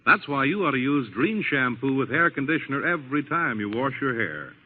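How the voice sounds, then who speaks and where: A man's voice: medium pitch, very animated, brisk, phone-quality sound. An American man announcing a scripted 1940s radio commercial as a salesman of that era. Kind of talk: 1940s radio advertising pitch. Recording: band-limited vintage 1940s radio recording.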